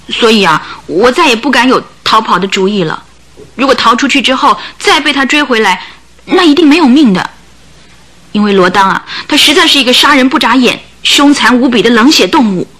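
A woman's voice: sits high, pushed and bright, heavily acted. An actress in a radio play voicing a bitter, sorrowful circus trainer's wife, telling her hard life in a plaintive, dramatic way with sighs. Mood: fearful, bitter.

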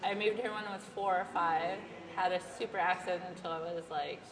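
A woman's voice: lowish voice, steady tone, fairly quick, crowd noise. A woman in her thirties from the Philippines, hosting a casual chat over coffee as a chatty storyteller who laughs often. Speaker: podcast host. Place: coffee shop. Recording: reverberant room with background noise.

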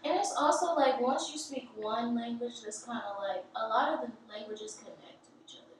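A young woman chats casually, prodding the others with teasing questions, her voice a little high. She is heard from a distance in a very echoey room with a faint hiss. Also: brisk. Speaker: podcast co-host. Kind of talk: casual chat.

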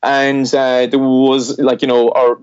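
A middle-aged Irish man talks about his work in a podcast interview, looping back and restarting his sentences as he thinks. His voice sits at an ordinary pitch. The recording sounds dry and a bit dull, as if from a modest lo-fi mic. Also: little pitch movement, slow pace, darkish tone, clear, resonant tone, no room sound. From southwest Ireland. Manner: rambling, self-correcting, reflective. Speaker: animated film director.

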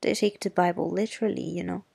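A woman reading as Miss Maudie, gravelly and grim. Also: lowish voice, fairly even intonation, a little mellow, no echo, full character voice. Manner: slow, dry and grim. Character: wry, sharp-tongued middle-aged Southern woman neighbor. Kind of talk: audiobook character reading.

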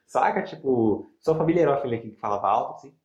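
A young man keeps up a quick, slangy stream of casual talk that is packed with fillers. His voice is mid-pitched. The room has a noticeable echo. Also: lively intonation, a little mellow, lo-fi sound. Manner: fast, slangy, full of fillers like mano and velho. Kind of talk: casual chat. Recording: echoey room.

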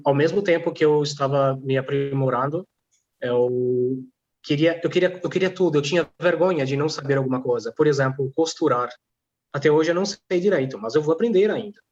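Male guest, non-native speaker, earnest and halting, slightly muffled audio. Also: a little high, darkish tone. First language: not Portuguese. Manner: earnest, halting, repeats words. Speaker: guest, language teacher and singer. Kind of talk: interview answers.

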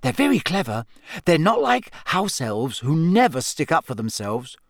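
Man acting teenage girl, higher voice, emphatic, clever, indignant, storybook character, dry close sound. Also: big pitch swings, moderate pace, dry recording. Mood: sarcastic, insistent. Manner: emphatic, sharp-tongued.

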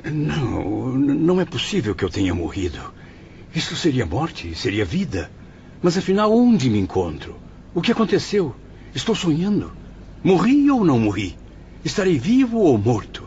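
A man's voice: a little high, huge pitch swings, darkish tone, a little hiss or hum. An actor playing a confused, anguished male spirit in a radio drama, solemn and dramatic, with a Brazilian accent. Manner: dramatic, solemn, halting when distressed. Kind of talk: radio drama acting with first-person narration. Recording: dull lo-fi radio drama, music behind.

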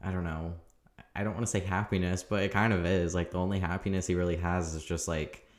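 Deep monotone young man, echoey room. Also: quick pace. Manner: rambling, filler-heavy, laughing often. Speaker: podcast co-host. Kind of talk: casual TV recap chat.

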